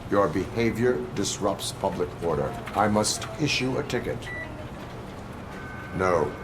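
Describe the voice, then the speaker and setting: An actor playing a stern cyborg policeman in a video game, speaking in flat, formal, clipped sentences. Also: low voice, unhurried, noisy background. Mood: stern, impassive. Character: cyborg policeman, stern, emotionless, by-the-book desk officer.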